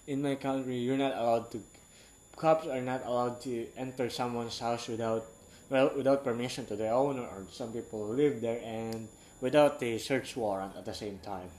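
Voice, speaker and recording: An eighteen-year-old man from Southeast Asia, English not his first language, talking frankly in a rambling, halting casual monologue. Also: mid-range voice, steady tone, a little mellow, a little hiss or hum, rough recording.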